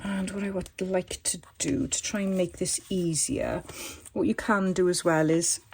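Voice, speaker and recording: A woman hosting a crafting tutorial, chatting and thinking aloud as she works, her voice a little croaky today. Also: fairly low pitch, steady tone, a little slow. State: slightly croaky voice. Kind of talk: casual craft demonstration.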